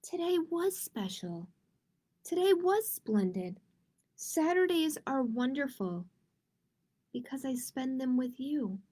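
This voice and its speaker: Woman playing a sweet high-voiced storybook little girl. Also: expressive, takes it slowly, full character voice. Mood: tender, reassuring. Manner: gentle, earnest. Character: sweet, reassuring little girl comforting her mother.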